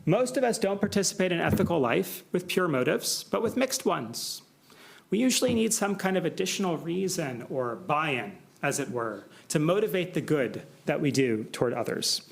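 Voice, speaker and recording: An American man delivering an academic symposium lecture, measured and explanatory, with frequent asides. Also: a little high, sing-song, creaky. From United States. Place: lecture hall.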